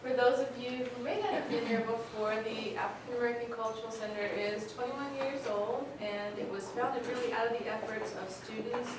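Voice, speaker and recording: A woman giving an informal introductory speech to an audience as the hosting director of a cultural center. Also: mid-range voice. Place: indoor cultural center room. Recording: echoey, noisy room from far off. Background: room noise.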